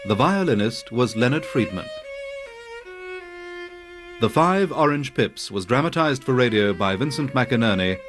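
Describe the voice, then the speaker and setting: Male announcer, medium pitch, formal scripted credits read over clear string music. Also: expressive, measured pace, long pauses. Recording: violin music behind.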